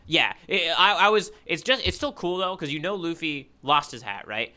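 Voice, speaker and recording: Guy podcaster, kinda higher bright voice, loose casual recap, lots of you knows. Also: sing-song. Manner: halting, peppered with "you know". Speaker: podcast co-host recapping a manga chapter.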